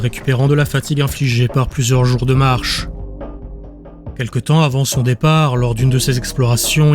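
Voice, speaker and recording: A man's voice: mid-range voice, darkish tone. A man reading scripted dramatic fantasy narration as an audiobook narrator.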